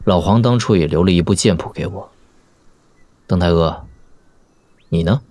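An actor playing a wry, easygoing young prince in a Chinese martial-arts audio drama, casual and given to sighs. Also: male voice, low voice, normal speed, a little mellow, no echo. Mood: wry, reflective.

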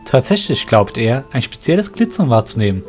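A man's voice: mid-range voice, sing-song, a little fast, darkish tone. A man reading scripted narration for a fantasy audio drama as its storyteller. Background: music.

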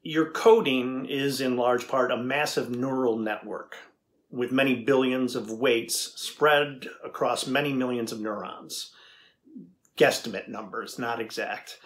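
Man as thoughtful male scientist, middle-aged, average pitch, deliberate, conversational, probing, dramatized interview. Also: a bit bright, close to an everyday voice. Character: thoughtful male AI researcher questioning a machine. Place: indoor room with backdrop, seated.

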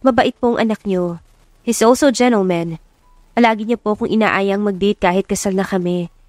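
A woman's voice: medium pitch, normal speed, darkish tone, dry recording, natural voice. A proud young heroine played by a woman narrator in an audio love story. She is impulsive, polite to elders yet feisty underneath. The recording is close and dry. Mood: nervous, polite.

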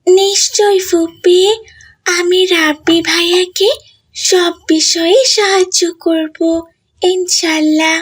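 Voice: very high-pitched, steady tone, slow pace, a bit bright, exaggerated voice. A performer voicing a pious, caring, polite little girl in a cartoon. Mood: earnest, consoling. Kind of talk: scripted cartoon dialogue.

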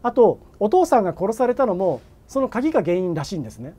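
A man's voice: high voice, very animated, quick pace, warm tone. A man in his forties explaining things politely and somewhat hesitantly as a journalist guest in a video-call interview.

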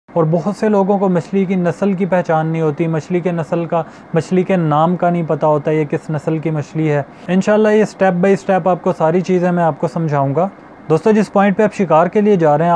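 A man's voice: fairly high pitch, mellow, slight background noise. A young man hosting a fishing channel, explaining step by step to camera and repeating his points, recorded on a lapel mic in a small indoor studio. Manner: explanatory, repeats points, addresses viewers as friends. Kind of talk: instructional talk to camera.

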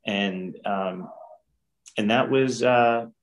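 A middle-aged man speaks in a lowish, unhurried voice, reflecting earnestly and often restarting his sentences. He is talking from a room at home over a webcam call. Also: slight background noise. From New York. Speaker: guest director. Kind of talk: casual interview. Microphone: video call webcam.

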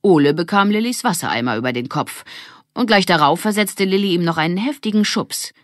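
A woman reading a children's audiobook as an expressive scripted narrator. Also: on the low side, brisk, dry recording, studio quality.

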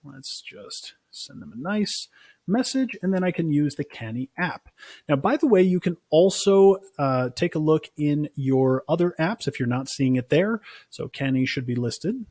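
A man in his thirties walks through software in an informal, conversational way, like a support rep showing a customer around. His voice sits a little high and has a husky edge. The sound is dry and close to the mic. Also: lively intonation, unhurried, no room sound. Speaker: customer success presenter. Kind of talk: casual software demo walkthrough.

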